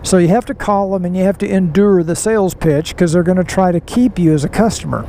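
A middle-aged man talks from his helmet mic as he rides a motorcycle through town, his voice sitting fairly high for a man. Steady road and wind noise runs under his words. He tells his story in a folksy, rambling way, full of asides. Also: a little fast. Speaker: motorcycle vlogger. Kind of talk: casual riding vlog monologue. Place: outdoors riding a motorcycle on city roads. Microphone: helmet mic, helmet-mounted camera.

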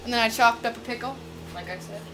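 A woman's voice: a little high, background noise. A young woman playfully hosting a homemade cooking show in a small home kitchen, teasing and bursting out now and then. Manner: bubbly, teasing, quick outbursts.

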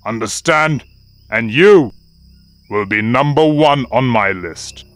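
Slow, menacing lion tyrant. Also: male voice, mid-range voice, lively intonation, fairly bright tone, no echo. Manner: slow, threatening, punctuated by gloating. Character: menacing, gloating, ever-hungry male lion tyrant.

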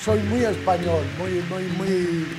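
A man's voice: high-pitched, fairly even intonation, takes it slowly, rough recording. An older man from Spain, a restaurant chef chatting and joking while he cooks in his kitchen. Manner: jovial, joking, storytelling. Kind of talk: casual chat while cooking. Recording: loud background music.

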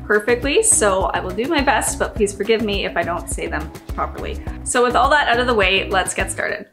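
Middle-aged woman reading her script, upbeat and clear, bit of a cough left, music playing under her. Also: medium pitch, a little fast. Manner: upbeat, clear, lively on list headings. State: lingering cough after illness. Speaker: channel host. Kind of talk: scripted informative narration. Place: indoors before a green screen.

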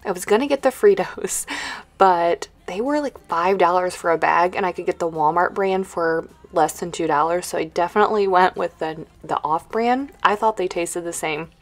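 A woman in her thirties talks like a home vlogger, loose and conversational. Her voice sits at an ordinary to slightly low pitch for a woman. She wanders from thought to thought in an informal, chatty style. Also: normal speed. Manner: rambling, conversational. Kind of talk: casual chatty vlog. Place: indoors at home.

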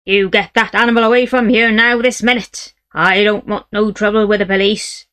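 A woman's voice: medium pitch, fast, close to an everyday voice. A woman voicing a big, blustering father who roars his lines in a storybook. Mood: angry. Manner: loud, roaring. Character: gruff giant of a father, blustering.

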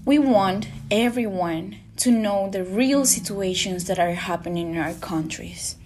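Slow, earnest young woman from Costa Rica. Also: mid-range voice, a little mellow, slight background noise. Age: young adult. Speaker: student podcaster. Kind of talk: scripted reading. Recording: guitar music underneath.

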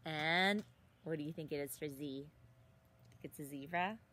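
A woman in her thirties reading a picture book aloud to young children outdoors, expressively, with exaggerated gasps and drawn-out words. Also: low voice, fairly bright tone. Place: outdoors in a leafy garden. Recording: outdoor recording with some ambient noise.

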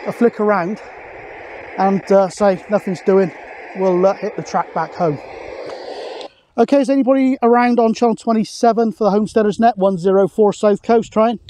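A man's voice: high voice, normal speed, mellow, slight background noise. A British man in his forties chatting casually to camera as a vlogger, recorded outdoors on an open hilltop. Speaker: vlogger, CB radio hobbyist. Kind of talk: casual outdoor vlog talk.

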